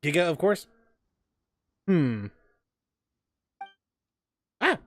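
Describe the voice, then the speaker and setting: A man streaming a game with casual, joking commentary. His voice is a bit high and very lively in pitch, with game music behind him. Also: drawn-out words. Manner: joking, reacting.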